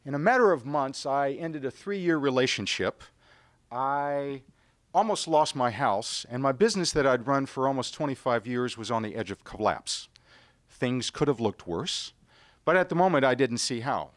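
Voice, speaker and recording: Animated middle-aged American man storyteller. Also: medium pitch, moderate pace, dry recording. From United States. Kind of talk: rehearsed personal story told live on stage. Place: live storytelling stage. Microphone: stage microphone on a stand.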